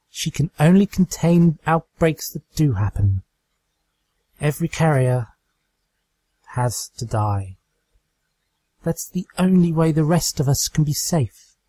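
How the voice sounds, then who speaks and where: Rational storybook unicorn mare, voiced by a man, earnest and firm, lifted pitch, dry sound. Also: warm tone, breathy edge, dry recording, full character voice. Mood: urgent. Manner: earnest, measured. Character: serious, rational female unicorn pony, firm but sorrowful.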